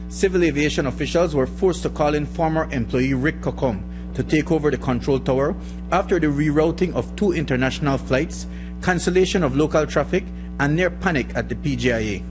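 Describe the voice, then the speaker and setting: A man reading scripted news narration as a reporter. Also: a little high. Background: music bed.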